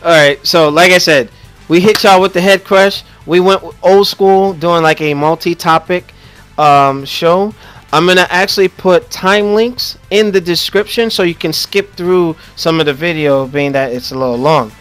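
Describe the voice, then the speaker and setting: A man's voice: fairly high pitch, moderate pace, fairly bright tone, clear, resonant tone, soft background music. A young man with a Haitian accent hosting a casual gaming podcast, joking and rambling with lots of restarts. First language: Haitian Creole or French. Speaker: podcast host.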